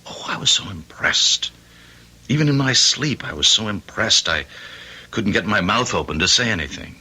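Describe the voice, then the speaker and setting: A man's voice: on the low side, big pitch swings, moderate pace, pushed and bright, breathy edge, exaggerated voice, rough recording. An actor playing a starstruck, self-deprecating man in a radio drama, hesitant and effusive as he confides. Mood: wondering, confiding. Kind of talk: old-time radio drama acting.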